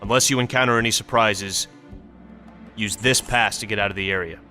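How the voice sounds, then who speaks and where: Military briefer, stern American officer: clipped, authoritative, sharp-edged delivery, music underneath. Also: male voice, lowish voice, brisk. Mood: stern, businesslike. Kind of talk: scripted video game mission briefing.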